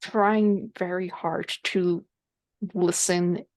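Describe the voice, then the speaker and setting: A woman with a fairly low voice plays a tabletop roleplaying game. She describes her character's actions casually and haltingly, feeling for words as she goes. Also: steady tone, a little mellow. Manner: halting, hesitant.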